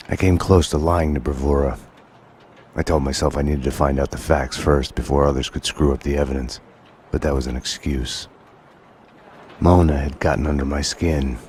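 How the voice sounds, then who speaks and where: An actor playing a world-weary, brooding police detective in a noir game, deadpan and terse, sounding like a hardboiled narrator. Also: male voice, a bass voice, slight background noise.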